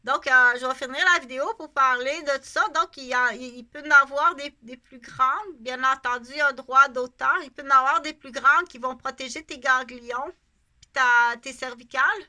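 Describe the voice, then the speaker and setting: This is a middle-aged woman with a Québécois accent, speaking unscripted to her viewers. Her voice sits high and has a sharp, bright edge. She rambles from point to point and repeats phrases for emphasis. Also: normal speed. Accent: Québécois French. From Canada. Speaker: vlogger. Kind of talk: casual unscripted monologue to camera.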